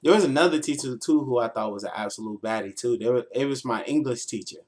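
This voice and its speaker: A 24-year-old American man telling stories casually as a livestreamer, in a slangy, playful, animated way. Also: medium pitch, fast talker. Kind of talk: casual, informal storytelling.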